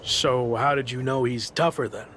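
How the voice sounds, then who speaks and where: Male actor as determined wrestler, mid-range voice, dry, earnest, reluctant, game cutscene acting, soft music behind. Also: mid-range voice, steady tone, normal speed, natural voice. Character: reluctant but determined male pro wrestler seeking comeback.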